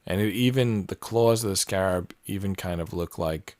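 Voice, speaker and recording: A man's voice: sits low, normal speed, no echo. A man in his late forties chatting casually and rambling on tangents while working on a jigsaw puzzle. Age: 40s. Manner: rambling, relaxed, many fillers and tangents. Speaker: puzzle channel host. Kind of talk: casual solo commentary while solving a puzzle.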